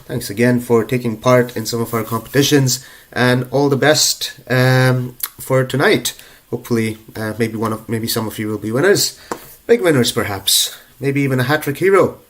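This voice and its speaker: A young man with a stuffed-up cold voice hosts a live prize draw stream. He chats loosely to the viewers, filling gaps with ums and uhs, at a mid pitch for a man. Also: normal speed. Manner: chatty, relaxed, lots of ums and uhs. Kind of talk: casual live-stream chat.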